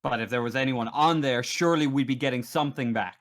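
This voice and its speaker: A man acting as game master, improvising narration and table talk in a halting way, repeating words as he thinks aloud. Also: mid-range voice.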